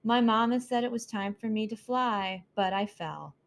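A woman's voice: fairly high pitch, moderate pace, a little hiss or hum, voice pushed up, close to an everyday voice. A grumpy little boy owl, as a woman puts him on, whining about how hungry he is. The voice is raised above the reader's own and drags out its complaints. It suits a children's storybook. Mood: whiny. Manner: cranky, whiny complaining.

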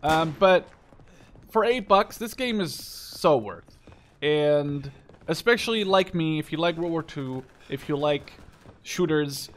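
A man's voice: a little high, fairly bright tone. A man in his twenties giving casual, rambling gameplay commentary, opinionated and emphatic, with some swearing. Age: 20s. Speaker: gaming video host. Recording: game gunfire and clicks behind voice. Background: video game gunfire, clicks.

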